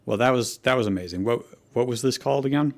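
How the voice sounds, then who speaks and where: A man's voice: medium pitch, fast, gravelly. A man chatting casually and joking with a group as a panelist. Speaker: fan fiction show panelist.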